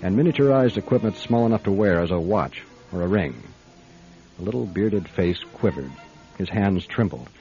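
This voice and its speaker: A dry, wary private eye with a lowish voice, measured and probing. It sounds like radio drama, slightly dull and lo-fi. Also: male voice, animated, mellow, faint music behind. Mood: dry, narrating. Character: gruff, wary male private detective who narrates. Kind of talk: radio drama acting and first-person narration.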